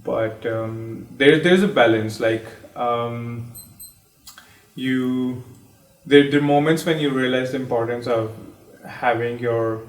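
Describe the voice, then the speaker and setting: A man's voice: medium pitch, fairly even intonation, slow pace. A man in his thirties from Pakistan, sharing a personal story in a hesitant, reflective way with many fillers and restarts. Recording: echoey room, distant mic.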